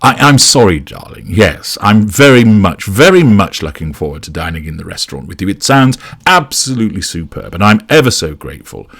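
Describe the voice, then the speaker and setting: A man's voice: fairly low pitch, big pitch swings, brisk, few pauses, a bit bright, husky, heavily acted. A man playing a weary, mild husband in an audiobook, hesitant and dutifully feigning enthusiasm. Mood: apologetic, placating.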